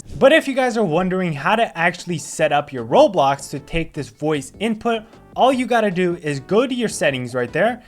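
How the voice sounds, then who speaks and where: A young man hosts a casual gaming video with a playful, slangy delivery. His voice sits high and swings animatedly in pitch, with faint music underneath. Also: fairly bright tone. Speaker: video host. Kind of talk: casual gaming vlog narration.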